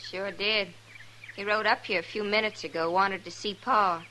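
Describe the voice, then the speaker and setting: An actress playing a plain-spoken young rancher's daughter in an old radio western, rustic and matter-of-fact. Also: female voice, medium pitch, fairly even intonation, moderate pace, sharp tone, lightly acted. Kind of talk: 1950s radio western acting. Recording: noisy vintage radio recording.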